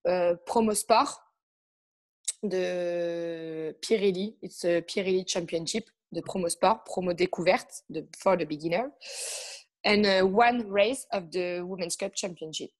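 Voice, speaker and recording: A woman with a French accent, not a native English speaker, answering interview questions in halting English with many pauses and fillers. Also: fairly low pitch. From France. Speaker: guest, motorcycle racer. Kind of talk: casual interview chat.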